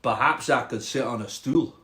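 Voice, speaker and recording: A man's voice: medium pitch, moderate pace, sharp tone, close to an everyday voice. A man voicing a reluctant, complaining storybook frog with a Scottish accent and a plaintive, questioning manner. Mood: reluctant, pleading.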